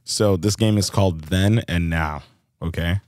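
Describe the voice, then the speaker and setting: A man's voice: sits low, darkish tone, dry recording, studio quality. A man hosting a casual podcast, playful and teasing with his guest. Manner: playful, teasing, quick jokes. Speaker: podcast host.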